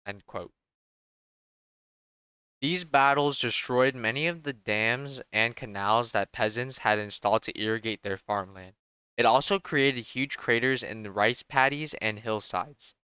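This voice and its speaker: Young man reading a school report, bright and crisp. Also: mid-range voice, unhurried, no echo. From Vietnam. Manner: reads steadily from a script, stumbles on words now and then. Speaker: student presenter. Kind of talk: reading a research presentation.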